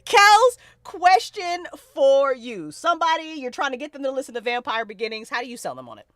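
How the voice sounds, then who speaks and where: A woman's voice: high voice, animated, a little fast, a bit bright, dry recording. Woman podcast host just chatting, gushy, says stuff a few times over, dry sound. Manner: effusive, gushing, repeats phrases. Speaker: host and writer of a fiction podcast. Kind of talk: casual live-stream chat.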